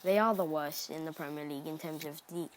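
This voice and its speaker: A young man from Cardiff with a Cardiff Welsh accent, chatting excitably through gameplay commentary with rambling asides. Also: fairly high pitch, a little fast, slight background noise. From Cardiff, Wales. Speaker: gaming YouTuber.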